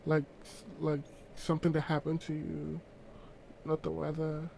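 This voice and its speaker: A man's voice: fairly high pitch, monotone, unhurried, creaky, mellow, slight background noise, low-quality recording. A young man co-hosting a casual chat podcast, joking and teasing in banter. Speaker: podcast co-host.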